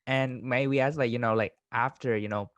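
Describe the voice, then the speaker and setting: A man from Vietnam, hosting an interview and asking questions haltingly, full of "like" and "you know". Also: mid-range voice, steady tone, a bit bright, no echo. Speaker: interviewer, host. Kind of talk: casual interview.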